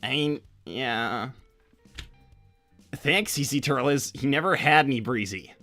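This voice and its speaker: A man's voice: medium pitch, pushed and bright, no echo, soft background music. A man voicing a casual young hedgehog hero in a cartoon livestream, hesitant and slangy as he talks. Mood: defensive, offhand. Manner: hesitant, halting slangy teen-style talk. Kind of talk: improvised in-character livestream Q&A.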